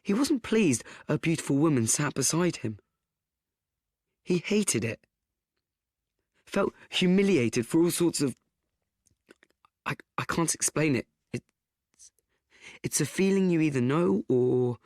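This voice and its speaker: A young actor playing an anxious, self-doubting teenage boy, confiding in a halting, stammering radio play monologue. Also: a little high, lively intonation, darkish tone, no room sound, studio quality. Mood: wry, confiding. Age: young adult.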